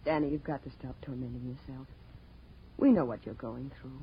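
A woman's voice: very deep voice, steady tone, a little fast, a little hiss or hum. An actress playing an anxious, pleading, earnest woman in a 1940s radio crime drama. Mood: pleading, exasperated. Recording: muffled old radio recording.

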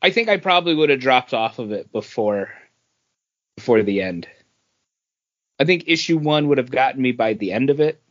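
A man's voice: mid-range voice, expressive, moderate pace, pauses often, fairly bright tone. A man talking casually and bluntly as a podcast panelist giving a review. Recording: dull, lo-fi audio.

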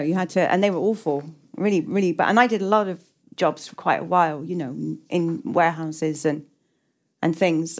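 A middle-aged woman with an English accent giving reflective interview answers as a writer, hesitant and often repeating and restarting her words. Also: on the low side. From England.

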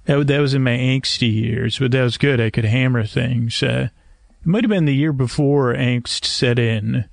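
A man hosting a bedtime podcast, talking in a creaky voice with a hesitant, meandering, measured and gently self-deprecating manner. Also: mid-range voice, no echo, studio quality. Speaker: podcast host. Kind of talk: rambling, soothing bedtime monologue to help listeners sleep. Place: screened porch at night.

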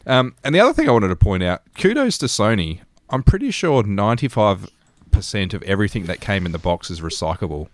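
A man with a notably low voice talks casually about games as a podcast co-host. He explains things plainly and matter-of-factly, recorded dry with no room around him. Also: sing-song, brisk, hardly any pauses, dry recording. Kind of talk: casual gaming podcast chat.

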